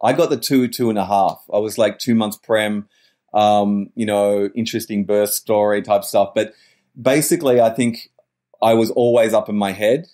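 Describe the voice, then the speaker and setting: A man's voice: fairly low pitch, fairly even intonation, moderate pace, a little mellow. A middle-aged man talking in a wordy, searching way as a podcast guest, often correcting himself mid-sentence. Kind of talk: reflective interview talk.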